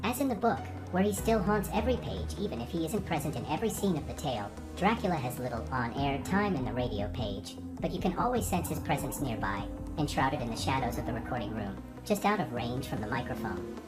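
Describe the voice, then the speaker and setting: A woman's voice: rumbling low, big pitch swings, brisk, over loud music. A woman hosting a programme of classic radio dramas, reading a scripted introduction. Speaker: host of a Halloween radio show marathon.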